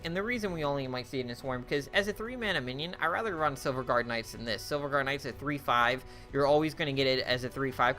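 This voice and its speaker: Chatty bright-voiced young man, music underneath. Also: medium pitch. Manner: chatty, unsure, thinks aloud with many fillers and restarts. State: losing his voice. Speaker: card game reviewer. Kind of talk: casual game commentary.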